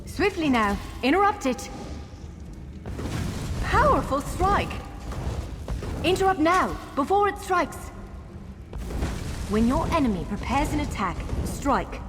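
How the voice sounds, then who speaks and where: A woman playing a spirited warrior guide in a video game, brisk and encouraging but candidly wary. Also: sits high, very animated, exaggerated voice. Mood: urgent, encouraging. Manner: brisk, encouraging, urgent in combat. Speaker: game companion and tutorial guide. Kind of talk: scripted video game dialogue. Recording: long hall reverb, clear music behind. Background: game music.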